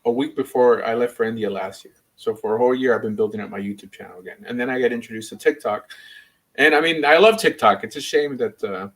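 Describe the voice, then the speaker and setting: A man's voice: medium pitch, quick pace. A man speaking casually as a rabbi and livestream host, rambling and opinionated in an informal way. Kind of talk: casual livestream chat and debate.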